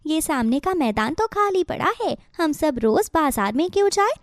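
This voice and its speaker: A woman voicing a caring, eager mother sparrow in a children's cartoon, with an expressive, exclaiming delivery. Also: high voice, moderate pace, no room sound, clean studio sound. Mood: determined.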